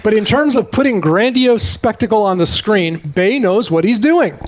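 A man's voice: high voice, expressive, moderate pace, muffled recording. A man giving a comedic movie plot recap as a podcast host, with sarcastic, exasperated storytelling.

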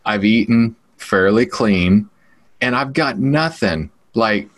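A man's voice: lowish voice, lively intonation, slow. A man co-hosting a podcast in casual chat, joking and laughing often. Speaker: podcast co-host.

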